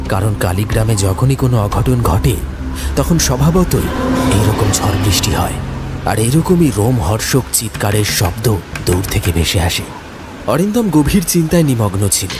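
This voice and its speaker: A man tells a scripted horror tale with theatrical suspense. His medium-pitched voice moves in animated swings, and loud music runs underneath. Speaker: horror story narrator. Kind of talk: dramatic scripted horror narration.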